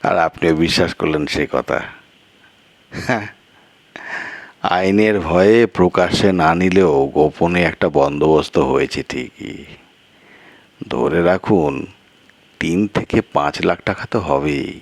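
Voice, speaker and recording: A crafty village man acted by a male storyteller speaks low and unhurried. He coaxes and insinuates with drawn-out phrases and rhetorical questions. The audio story sound is close and dry. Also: creaky, no room sound. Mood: insinuating. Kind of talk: Bengali audio story character dialogue.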